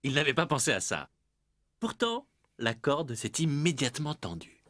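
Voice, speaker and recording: An actor voices a wry male storyteller in a children's cartoon. His mid-pitched voice swings widely and expressively, with clear noise behind it. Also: sing-song, moderate pace, close to an everyday voice. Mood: narrating. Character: wry neighbourhood storyteller telling a folk tale.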